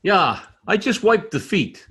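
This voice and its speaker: A man playing a proud, excitable immigrant tradesman in an old-time radio comedy, with a foreign accent, a husky voice and halting, earnest English. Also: sits high, slight background noise, full character voice. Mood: obliging.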